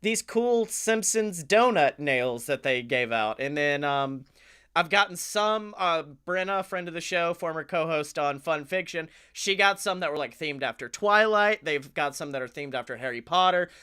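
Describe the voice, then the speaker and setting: A man chatting casually as a comedy podcast co-host, enthusiastic, talkative and quick to swear. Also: on the high side, animated, pushed and bright, clear, resonant tone.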